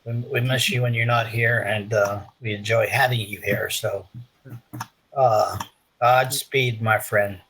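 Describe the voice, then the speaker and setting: A man's voice: fairly low pitch, steady tone, a little slow, a bit bright, slight background noise, low-quality recording. A man hosting a group video call, introducing each speaker and thanking them in a friendly way. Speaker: host.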